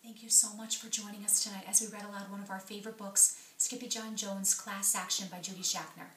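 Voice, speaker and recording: A woman's voice: mid-range voice, level tone, fairly quick, bright, forceful tone, slight background noise. A woman reading a children's picture book aloud as a storyteller.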